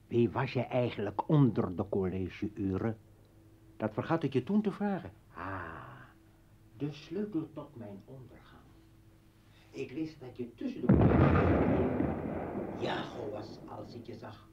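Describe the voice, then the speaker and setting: An actor playing a bitter, drunken revolutionary leader and ex-husband in a radio play, taunting and theatrical. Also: male voice, medium pitch, big pitch swings, measured pace, pauses often, distant mic. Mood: mocking. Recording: dull, roomy old radio recording.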